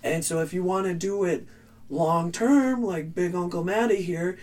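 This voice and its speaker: A raspy-voiced man, a band's vocalist, rambling earnestly through interview advice with restarts. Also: high voice, normal speed, darkish tone, distant mic. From Canada. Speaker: band vocalist. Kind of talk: casual interview answers.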